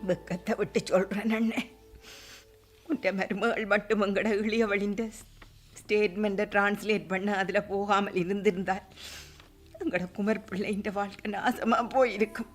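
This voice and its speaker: A middle-aged sister with a Sri Lankan Tamil accent, played by a woman in a radio play, pleading with her brother in a reproachful tone. Her voice sits at a middle pitch. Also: steady tone, normal speed, soft background music, close to an everyday voice. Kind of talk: radio drama acting.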